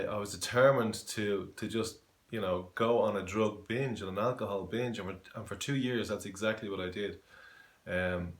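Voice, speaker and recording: A man in his forties from Dublin with a Dublin Irish accent, giving an earnest personal faith testimony, halting with many fillers and restarts. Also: on the low side. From Ireland. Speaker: testimony giver. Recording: echoey room.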